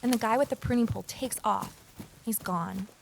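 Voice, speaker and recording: Audio drama lead: earnest, inquisitive ex-reporter sleuth, narrating in first person, faint rainy hiss. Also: female voice, on the high side, moderate pace, vocal fry, rough recording. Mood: tense, intrigued. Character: eager, nosy female ex-journalist amateur sleuth.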